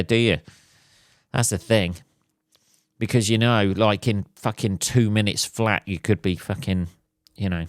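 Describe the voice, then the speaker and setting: Guy on his podcast, low smooth voice, bit hoarse, swears constantly, dry close sound. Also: no echo. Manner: crude, swears a lot, jokey. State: slightly hoarse. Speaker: podcast host. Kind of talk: casual solo podcast rambling. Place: at home.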